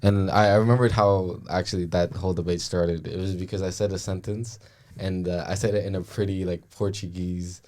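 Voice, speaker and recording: A young man born in France talks in a very deep voice. He is reflective and hesitant in a casual podcast chat and fills his pauses with uhs. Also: steady tone, darkish tone. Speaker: podcast co-host. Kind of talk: casual conversation.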